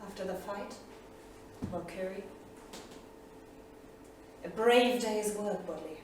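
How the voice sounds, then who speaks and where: A proud, tragic saga heroine, played by an actress, solemn and deliberate in a mid-pitched female voice. She declaims as in a stage play, far from the microphone in a very echoey theatre with clear background noise. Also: close to an everyday voice. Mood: solemn, mournful. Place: small theatre studio, indoors.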